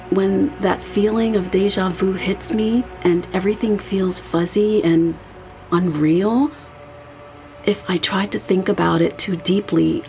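A woman's voice: medium pitch, creaky, a little mellow. An actress playing a young woman with a casual, reflective manner, in a naturalistic audio drama. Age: young adult. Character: uneasy young woman haunted by vivid dreams.

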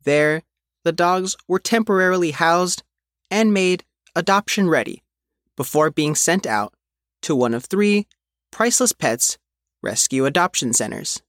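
A man reading a news article as its reporter, in measured, phrase-by-phrase scripted narration. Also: on the high side, animated, a bit bright, dry recording, studio quality. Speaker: reporter reading their own written article.

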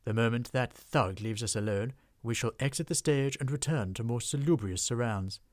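A man voicing a pompous, well-spoken gentleman troll with a grand, theatrical manner. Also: medium pitch, normal speed, dry recording, studio quality, close to an everyday voice. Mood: grandly reassuring.

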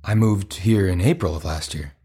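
A man's voice: low voice, moderate pace, dark tone, slightly rough. An actor playing an earnest, hopeful, slightly awkward man looking for love in a comedic audio play, speaking politely and hesitantly but persistently. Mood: curious.

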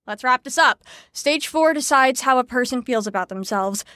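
An actress playing a brisk, sarcastic teacher in an audio drama, lecturing in an articulate, wry way. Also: female voice, high voice, animated, sharp tone, no room sound, studio quality. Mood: wry, impatient. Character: brisk, sarcastic female teacher explaining psychology theories. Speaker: lecturer leading a group lesson.

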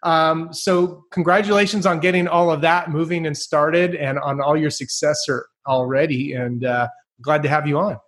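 A man hosting a podcast, talking in an enthusiastic, energetic way and laughing easily as he introduces his guest. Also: a little high, normal speed. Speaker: podcast host. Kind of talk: upbeat podcast interview.

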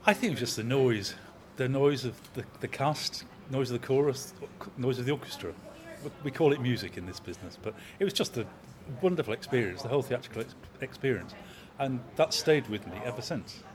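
A man in his sixties, an opera company manager, talking in a measured, anecdotal way in an interview. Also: mid-range voice, expressive. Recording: crowd chatter in background.

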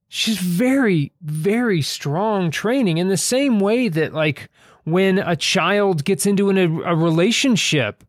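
A man with a fairly high voice speaks very slowly in a close, dry recording. He talks like an author in thoughtful discussion, feeling his way through sentences and repeating phrases to press a point. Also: dry recording. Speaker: author and podcast host.

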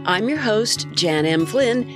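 A middle-aged woman hosting her own show, sounding stuffy from a cold as she reads a reflective, gently wry personal story. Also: mid-range voice, expressive, slow, over music. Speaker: podcast host. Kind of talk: reading a personal essay.

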